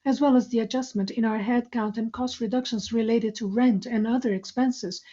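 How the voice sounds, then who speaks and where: A woman reading out a corporate financial report in a formal, measured, scripted style. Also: fairly high pitch, steady tone, dark tone. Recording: muffled, lo-fi conference call audio.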